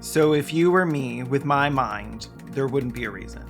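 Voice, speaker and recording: A video essayist narrating a script with sarcastic, deadpan comic asides. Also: mid-range voice, normal speed, faint music behind. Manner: sarcastic, wry deadpan jokes.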